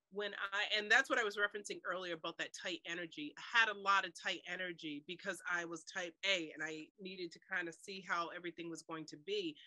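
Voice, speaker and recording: A woman's voice: on the low side, dry recording. A middle-aged woman guest on a video-call interview, telling her story animatedly, laughing and acting out her doubts. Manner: animated storyteller, laughs often, acts out inner voice. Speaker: guest author. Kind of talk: conversational interview. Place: room with blue cloth backdrop. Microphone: webcam on video call.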